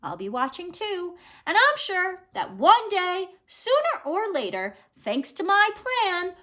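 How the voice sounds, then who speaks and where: A woman in her thirties reading a children's picture book aloud in an expressive storytelling manner, drawing out words. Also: very high voice, takes it slowly. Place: small home room, indoors.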